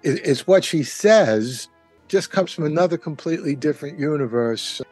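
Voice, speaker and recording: Man talking haltingly, higher-pitched, dry sound. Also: animated, darkish tone, no room sound, faint music behind. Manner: hesitant, halting, stammering delivery. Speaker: panelist. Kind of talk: casual roundtable discussion.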